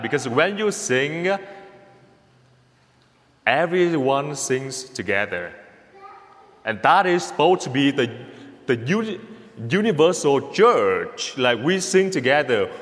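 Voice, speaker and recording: Vietnamese-accented priest, male, slow homily delivery, mid pitch, long church reverb. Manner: slow, repeats words, speaks to the congregation with a gentle "okay?". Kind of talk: sermon.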